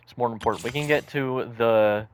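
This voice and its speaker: A man's voice: mid-range voice, moderate pace, smooth. A man giving casual commentary as he streams a video game. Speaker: gameplay streamer.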